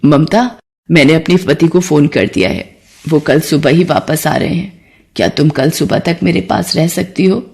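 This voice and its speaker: Lady doing a soft gentle older auntie, calm and kind, cartoon voice. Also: low-pitched, moderate pace, creaky. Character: kind wealthy older woman, injured, gentle.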